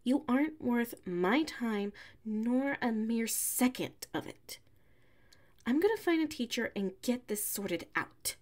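A woman voicing a prickly, haughty young tsundere classmate with a hidden soft side, in an ASMR audio roleplay. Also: fairly high pitch, animated. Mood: indignant, huffy. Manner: haughty, huffy, sighing, drawn-out phrasing.

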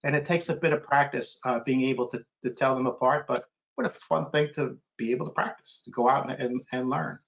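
A man's voice: medium pitch, steady tone, a little fast, gravelly. A middle-aged American man chatting casually as a guest author, answering questions on a video call. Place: indoor room on a video call. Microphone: computer video call. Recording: dull, lo-fi video call audio.

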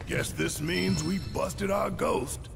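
An actor playing a gruff, sardonic, hot-headed fighter in a video game, blunt and colloquial. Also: male voice, fairly high pitch, slow, loud music behind the voice, full character voice.

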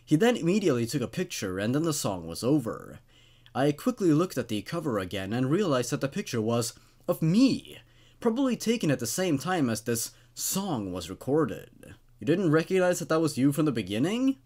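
A man's voice: a little high, sing-song, normal speed, a little mellow. A man in his twenties hosting and reading horror stories expressively, with dramatic delivery and casual, wry asides. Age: 20s. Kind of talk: expressive story narration with casual commentary. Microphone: studio condenser microphone on a stand.

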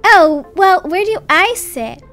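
A woman's voice: very high voice, expressive, takes it slowly, bright, forceful tone, faint music behind, exaggerated voice. A young woman voicing a shy, wary nerdy schoolgirl in a game story, hesitant and slangy. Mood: hesitant, agreeable. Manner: hesitant, baffled teen slang.

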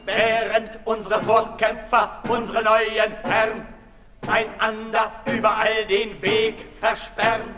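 An actor playing a fervent revolutionary singer, chanting and half-singing verse in a 1960s radio play. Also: male voice, high voice, flat delivery, takes it slowly. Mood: declamatory. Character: fervent male revolutionary ballad singer, rabble-rousing. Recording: echoey hall, 1960s radio recording. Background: music and crowd.

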